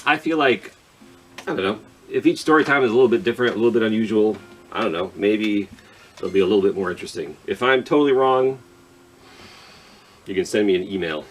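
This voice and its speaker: A man's voice: lowish voice, a little fast, long pauses, slight background noise. Chatty man hosting children's storytime. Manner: informal, self-deprecating, rambling asides. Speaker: children's library storytime host. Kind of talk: casual, chatty talk to young children.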